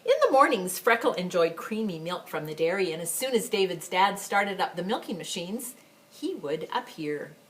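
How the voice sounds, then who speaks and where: A woman reading a picture book aloud to children as a library storyteller, with expressive, emphatic phrasing and chatty asides. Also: fairly low pitch, normal speed, slight background noise. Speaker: story time reader for a school library.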